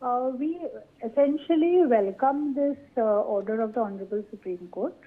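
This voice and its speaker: A woman with a fairly high voice and an Indian accent comments formally as a legal expert. Her audio is narrow and phone-like. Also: moderate pace. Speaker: Supreme Court advocate, news guest. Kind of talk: formal live interview commentary.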